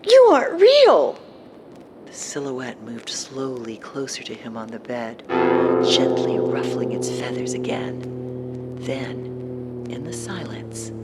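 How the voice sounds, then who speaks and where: A woman narrating a scripted horror story in a storytelling style. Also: very deep voice. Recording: music behind the narration.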